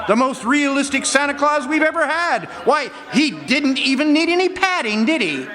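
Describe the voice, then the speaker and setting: Man playing a very high-pitched, gushing publicity man. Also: big pitch swings, normal speed, pushed and bright, some chatter behind, exaggerated voice. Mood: delighted. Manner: effusive, exclamatory. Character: gushing, excitable department store publicity man. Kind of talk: old-time radio play acting. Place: stage in a hall. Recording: echoey hall, long reverb.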